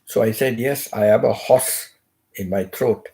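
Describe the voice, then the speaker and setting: An older man hosting a casual chat from his bed, keeping his voice low and nearly whispering, so it comes out hoarse. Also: measured pace. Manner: speaks softly, almost whispering; hums tunelessly between thoughts. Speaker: channel host. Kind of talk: casual rambling livestream chat. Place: bedroom, in bed.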